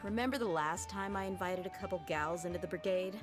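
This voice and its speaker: A woman doing a blunt, low-voiced mercenary. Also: fast talker, music in the background, natural voice. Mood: teasing. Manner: blunt, outspoken. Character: brash tough woman mercenary captain. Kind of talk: video game voice acting.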